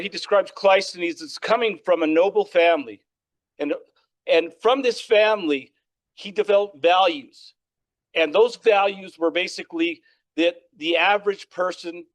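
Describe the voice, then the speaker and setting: A man lecturing on history with a loud, projecting voice, often checking in with "all right?". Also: high-pitched. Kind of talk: public lecture. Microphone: microphone.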